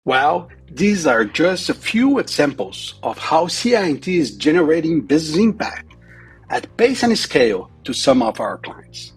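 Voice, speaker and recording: A man's voice: on the high side, big pitch swings, a little slow, breathy edge, faint music behind, low-quality recording. A man giving a prepared corporate presentation as a company executive.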